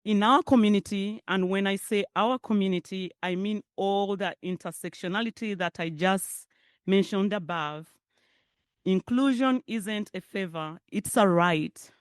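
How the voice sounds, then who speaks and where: A woman's voice: medium pitch, a little slow, dry recording, studio quality. A woman with a Ugandan accent giving a personal keynote speech at a public forum, chatty and laughing, with asides to the audience. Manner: conversational, laughs often, makes off-the-cuff asides to the audience. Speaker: keynote speaker.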